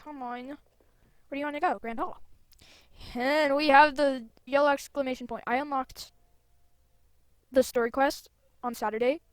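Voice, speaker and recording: High-pitched playful woman gaming host. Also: steady tone, moderate pace, pauses often, dry recording. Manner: playful, chatty, exclaiming. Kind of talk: casual gameplay commentary with read-out game dialogue.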